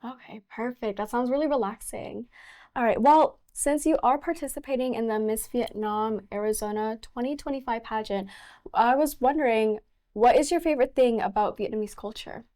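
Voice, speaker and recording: A woman's voice: on the high side, moderate pace, dark tone. A young woman hosting an interview talk show, chatty and casual, peppering her speech with "like". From United States. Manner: chatty, fillers like "like".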